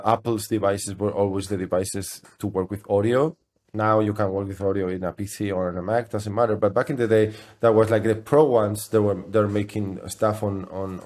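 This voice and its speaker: A middle-aged man who speaks English as a second language, telling his story as an interview guest in a halting, conversational way with repeated phrases. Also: lowish voice, darkish tone. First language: not English. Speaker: interview guest, software company CEO.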